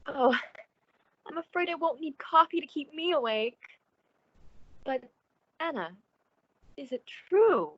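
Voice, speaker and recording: A woman's voice: high-pitched, expressive, a little slow, long pauses, dry recording, lo-fi sound. A woman playing a genteel, uneasy, concerned friend in an old-time radio suspense drama, speaking politely and hesitantly with theatrical expression. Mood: uneasy curiosity.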